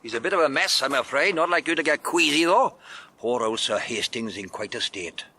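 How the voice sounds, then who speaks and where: An actor playing a gruff, blustering chief inspector in a period detective radio drama. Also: male voice, mid-range voice, lively intonation, a little fast, pushed and bright, a little hiss or hum. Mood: matter-of-fact. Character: blustering, hard-boiled male police chief inspector.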